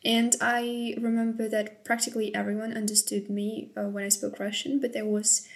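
A woman's voice: medium pitch, flat delivery, moderate pace. A woman interviewer asking questions in a curious, friendly manner.

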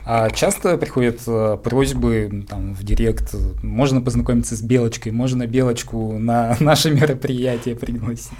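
Female interviewer, lowish voice, casual conversational questioning. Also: moderate pace, hardly any pauses, mellow.

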